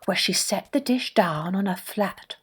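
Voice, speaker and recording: Slow-paced woman telling a children's story. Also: mid-range voice, slightly rough. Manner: expressive, hushed at suspenseful moments. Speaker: storyteller, podcast host. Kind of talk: scripted children's story narration.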